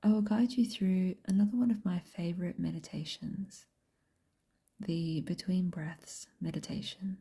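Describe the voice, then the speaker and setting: A woman guiding a meditation in a soft, breathy whisper, speaking gently and unhurriedly with long pauses. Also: lowish voice, steady tone. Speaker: meditation guide and host. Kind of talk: slow guided meditation, soft whispered speech.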